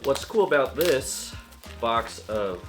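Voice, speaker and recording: Hoarse, rambling card-collecting guy. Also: medium pitch, expressive, slow pace, fairly bright tone, faint music behind. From Seattle area, USA. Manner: chatty, rambling, self-correcting. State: hoarse, voice recovering. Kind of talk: casual vlog talk to camera. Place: at home.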